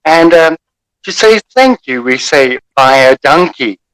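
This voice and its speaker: A South African man with an Afrikaans accent hosts a radio interview, pausing often with fillers. His voice sits fairly high, and the sound is dry, like a video call. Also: lively intonation, smooth, no echo, poor audio. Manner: warm, hesitant, with many fillers. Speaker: radio host.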